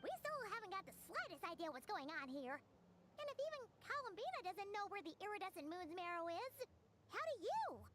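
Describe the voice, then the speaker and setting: An actress voicing a tiny, excitable, curious companion with a squeaky childlike voice in a video game. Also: female voice, fairly quick, background noise. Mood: questioning. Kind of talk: scripted video game dialogue.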